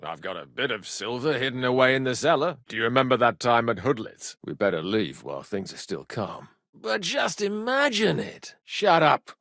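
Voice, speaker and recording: An actor playing a cheeky, good-humored medieval rogue and loyal younger brother in a video game, with colloquial, irreverent banter. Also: male voice, medium pitch, big pitch swings, normal speed, gravelly, fairly bright tone, no room sound, clean studio sound. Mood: easygoing banter. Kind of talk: video game dialogue acting.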